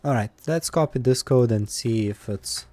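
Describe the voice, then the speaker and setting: A man with an Eastern European accent, live-streaming while coding and thinking aloud, with many pauses and fillers. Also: medium pitch, mellow.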